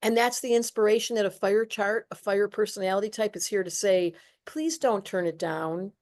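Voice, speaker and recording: A woman hosting a podcast, talking in a playful, animated way and acting out little voices as she tells her stories. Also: mid-range voice, moderate pace. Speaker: podcast host, astrologer. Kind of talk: casual podcast conversation.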